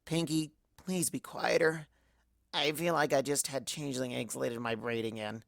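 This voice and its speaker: A woman's voice: extremely low pitch, steady tone, normal speed, vocal fry, no room sound, clean studio sound, voice pushed down. A woman voicing a weary, hungover female pony scholar in a story reading, groaning and subdued. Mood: miserable, groaning.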